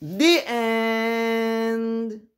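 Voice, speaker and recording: A man's voice: very high voice, monotone, extremely slow. A man from the Philippines hosting a children's read-aloud, telling the story expressively and acting out the lines and sound words. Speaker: children's storybook reader and host.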